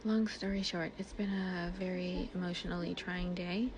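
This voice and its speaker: A woman's voice: fairly low pitch, flat delivery, moderate pace, background noise. A woman telling a casual, personal story about her day in an emphatic, conversational way, acting out bits of dialogue.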